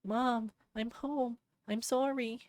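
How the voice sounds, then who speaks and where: A man's voice: extremely high pitch, fairly even intonation, slow pace, no room sound, voice pushed up. A man voicing a frightened, earnest young boy fox in a visual novel, pleading and anxious. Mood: alarmed. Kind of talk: visual novel character voice acting.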